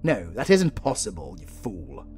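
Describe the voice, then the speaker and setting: A man's voice: fairly low pitch, wildly swooping pitch, darkish tone, soft background music. A British man playing a flirtatious, sardonic supervillain in a romantic comedy audio roleplay, drawling and teasing theatrically. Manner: slow, drawling, teasing, dramatic.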